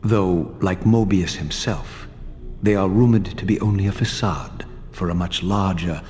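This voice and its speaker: An actor playing a proud, vengeful vampire wraith in a video game, speaking in a formal, theatrical, measured way. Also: male voice, deep voice, darkish tone. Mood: reflective, disdainful. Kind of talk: dramatic game voice acting. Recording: reverberant hall, music underneath.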